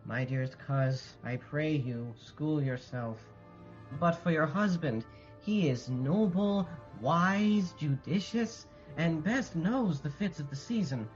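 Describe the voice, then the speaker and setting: A man playing a courteous, anxious nobleman in Shakespearean radio drama, speaking in a measured, earnest way. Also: a little high, muffled recording, faint music behind. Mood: grave, consoling. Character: courteous, anxious nobleman counselling his cousin.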